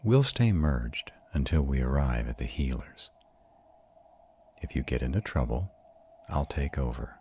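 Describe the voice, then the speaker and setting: Arrogant yet tender male vampire, acted by a man for roleplay audio: very slow, bass-deep, soothing mentor. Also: expressive, mellow, slight background noise. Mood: gentle, reassuring. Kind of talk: intimate roleplay audio drama.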